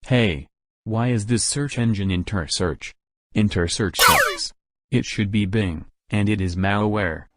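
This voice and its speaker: A man's voice: on the low side, huge pitch swings, measured pace, heavy background noise. A man voicing a demanding, easily annoyed computer user who complains bluntly in a cartoon skit. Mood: complaining.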